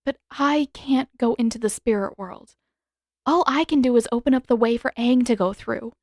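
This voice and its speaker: A woman voicing an earnest teenage girl hero in a story reading, halting and emotional, as if holding back hurt. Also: fairly high pitch, a little mellow, dry recording, clean studio sound, pitched above the performer's own voice. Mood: hurt, defensive. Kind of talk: dramatic audiobook character reading.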